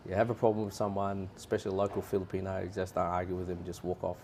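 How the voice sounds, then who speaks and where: A man's voice: sits low, little pitch movement, very fast, a little mellow, a little hiss or hum. A man from Australia, a guest in a casual interview, earnestly giving plain, repeated advice to travelers.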